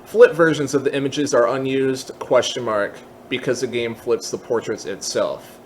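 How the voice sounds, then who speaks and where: A man giving a casual software tutorial, explaining each step in an informal, candid way as he thinks aloud. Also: mid-range voice, steady tone, normal speed, a little hiss or hum. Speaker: tutorial host.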